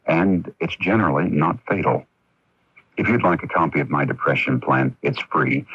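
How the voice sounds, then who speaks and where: A man's voice: low voice, fairly bright tone, slightly rough, no room sound. An American man giving a scripted radio advice monologue in a folksy, direct and reassuring way. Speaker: presenter of a radio advice spot. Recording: dull, dry AM radio tape.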